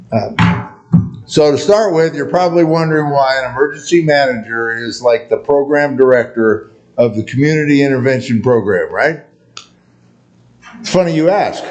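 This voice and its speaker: Brief: quiet-spoken male county official, easygoing, joking, presenting at a town board meeting. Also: medium pitch, rough recording. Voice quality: quiet-voiced. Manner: relaxed, joking, loose with fillers and restarts. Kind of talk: informal presentation at a public meeting.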